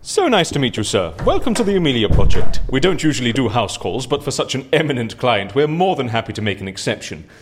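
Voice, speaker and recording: An actor playing an eccentric, chatty British gentleman fixer in an audio drama, effusively polite and easily flustered. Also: medium pitch, big pitch swings, fast, few pauses, noisy background, close to an everyday voice. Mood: effusive, ingratiating.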